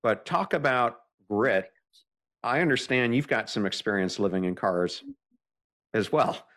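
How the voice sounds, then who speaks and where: A man is conducting an interview in an easy conversational style. His voice is a touch lower than average. He speaks as the interviewer, responding to his guest. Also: expressive, unhurried, a bit bright.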